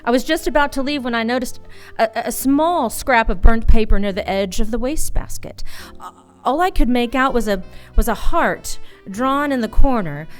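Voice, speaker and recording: A wry, tough female private eye played by a woman in a noir radio drama. The voice sits on the high side, halting and hard-boiled, with music underneath. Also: animated, lightly acted. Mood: brooding. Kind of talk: noir radio play acting, first-person narration. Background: music behind the voice.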